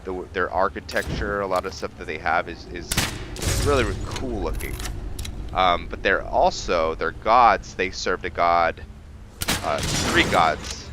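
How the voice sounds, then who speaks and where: A man's voice: low-pitched, a little slow, noisy background. A man giving casual, rambling let's-play commentary as a gaming host.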